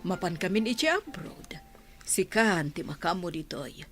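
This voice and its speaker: A woman's voice: on the low side, sing-song, a little slow, soft background music. An actress playing a persuasive, doting middle-aged mother in a radio drama. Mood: gently instructing. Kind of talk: Ilocano radio drama acting.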